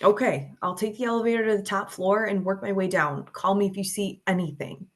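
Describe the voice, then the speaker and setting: A woman acts out a determined young woman. Her voice is mid-pitched and natural, practical in manner, as in a script table read. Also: fairly even intonation, fairly quick, natural voice. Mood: purposeful. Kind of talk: screenplay table read acting.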